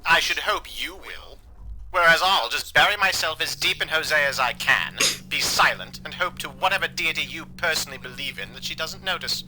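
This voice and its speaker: A fussy, disapproving male spirit in an audio drama. The voice is slightly high, very bright and animated, with prim, anxious, formal phrasing. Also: normal speed, faint music behind, rough recording. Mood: fretful, arch.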